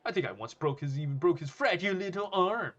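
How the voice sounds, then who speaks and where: A man voicing a boastful, threatening high school bully in a story. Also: very animated, normal speed, bright, forceful tone, slightly rough, muffled recording, exaggerated voice. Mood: threatening, smug. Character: Kaga, swaggering menacing male high school bully.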